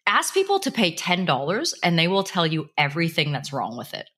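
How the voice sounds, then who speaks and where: Low, bright-voiced woman host. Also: wildly swooping pitch, fairly quick, breathy edge. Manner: chatty, laughs often. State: jet lagged. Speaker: podcast host. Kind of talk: casual chat.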